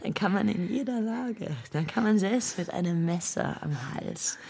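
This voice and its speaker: A woman's voice: medium pitch, animated, moderate pace, darkish tone, slightly rough. An actress in a radio play voicing an enraged, desperate woman who threatens and commands with biting sarcasm. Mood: intense, anguished. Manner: menacing, sarcastic, commanding, unhinged. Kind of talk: radio drama acting.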